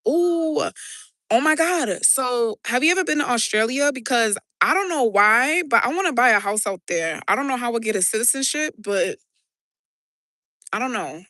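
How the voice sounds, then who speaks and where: A woman with a New York accent rambles through a casual live stream, excitable and quick to swear. Her voice is bright and sits on the higher side. She talks into her phone up on an outdoor rooftop, and the sound is dry and close. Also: no room sound. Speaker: livestreamer. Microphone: handheld phone.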